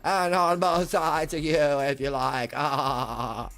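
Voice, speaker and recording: A man's voice: fairly high pitch, little pitch movement, takes it slowly, fairly bright tone, soft background music, voice pushed up. A man voicing a crotchety old female sheep who keeps a shop, bleating "bah" between her phrases. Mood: grumbling.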